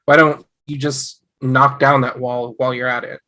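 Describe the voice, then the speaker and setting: Casting: exasperated young sitcom husband man reading, average pitch, sharp forward tone, wry and sarcastic. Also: flat delivery, moderate pace, close to an everyday voice. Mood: sarcastic exasperation. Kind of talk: sitcom table read.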